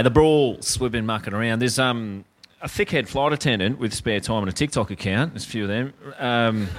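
A man with an Australian accent co-hosting a live comedy podcast in front of an audience, blunt and sweary with deadpan asides. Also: on the low side. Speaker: podcast co-host.

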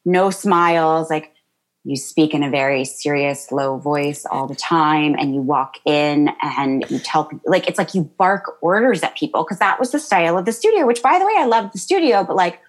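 A woman yoga teacher with a high-pitched voice, answering interview questions in a bubbly, chatty way with lots of fillers and laughter. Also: animated, moderate pace. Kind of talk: interview answers, casual chat.

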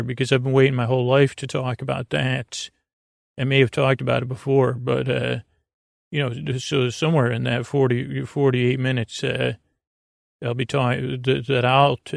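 A man with a creaky voice hosting a podcast in a rambling, soothing, casual bedtime monologue, halting and often trailing off mid-thought. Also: no room sound, studio quality. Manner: soothing, low-key. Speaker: podcast host.

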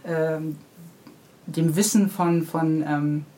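A woman's voice: sits low, fairly even intonation, very slow, mellow. A woman in a casual interview, explaining her children's books in detail as their author. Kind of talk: casual interview chat about own books. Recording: clearly noisy background ambience.

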